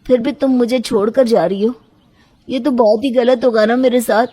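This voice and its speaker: Woman doing a lovesick young guy, soft dark tone, bit husky, earnest n plaintive, storybook dialogue. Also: on the high side, steady tone, moderate pace, voice pushed up. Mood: upset, pleading. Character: lovesick, earnest young man, devoted and needy.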